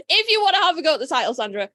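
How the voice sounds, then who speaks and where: A woman hosting a podcast in casual, jokey chat, swearing freely and rambling excitably. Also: high-pitched, no echo. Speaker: podcast host.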